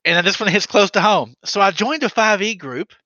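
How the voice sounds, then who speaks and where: Animated sarcastic male podcast host, bright. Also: high voice, brisk, no room sound, highs cut off. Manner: animated, sarcastic, laughs often. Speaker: podcast co-host reading listener stories. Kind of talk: casual podcast chat.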